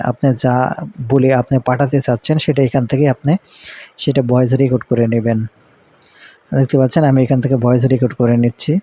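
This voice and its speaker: A man narrates an app tutorial at a brisk clip, walking through it step by step and repeating instructions. His tone is dark and relaxed, with a medium pitch. The recording is dull and lo-fi. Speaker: tech tutorial host.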